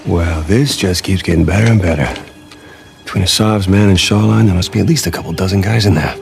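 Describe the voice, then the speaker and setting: A man's voice: sits low, quick pace, creaky, darkish tone. A man with an American accent playing a wisecracking, easily flustered treasure hunter in a video game cinematic, with a sarcastic, chatty manner. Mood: wry, businesslike.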